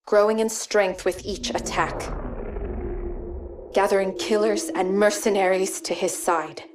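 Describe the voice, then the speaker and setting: A woman playing a grave, intense survivor in a game cutscene, speaking like someone telling a dark history. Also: medium pitch, background noise, natural voice. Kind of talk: cinematic game cutscene acting.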